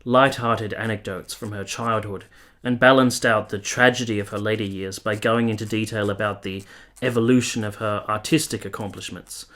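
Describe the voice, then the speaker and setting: Earnest period gentleman played by a male actor, radio play. Lowish male voice, measured and formal, a reflective, troubled lover. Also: close to an everyday voice. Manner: measured, formal literary phrasing. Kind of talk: radio drama dialogue and first-person narration.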